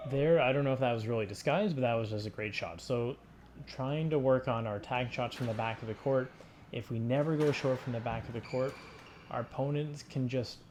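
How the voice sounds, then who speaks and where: Male squash coach over noisy court ambience. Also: mid-range voice. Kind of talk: instructional sports commentary over drill footage.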